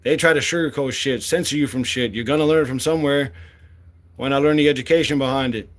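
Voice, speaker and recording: A man's voice: mid-range voice, quick pace. A man in his late forties giving a blunt, impassioned monologue as a candidate, swearing freely and speaking with fire. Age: 40s. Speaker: political candidate.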